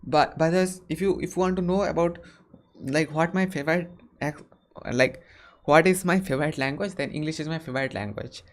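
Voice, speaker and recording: A man in his early twenties from West Bengal with a Bengali Indian accent, answering viewer questions casually and deliberately, often repeating his phrases. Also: on the high side. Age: early 20s. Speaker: YouTuber hosting a Q&A live stream.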